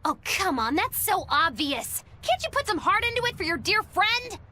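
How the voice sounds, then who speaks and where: A woman's voice: very high-pitched, very animated, moderate pace, bright, forceful tone, slight background noise, exaggerated voice. A woman playing a sly, theatrical young witch in an anime game dub, speaking in an arch, wordy, teasing way. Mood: exasperated pleading.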